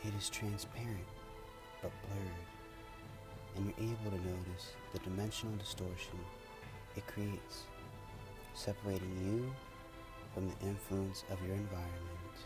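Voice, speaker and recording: A man leading a guided meditation in a soothing, measured, unhurried way with pauses. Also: low-pitched, little pitch movement. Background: music.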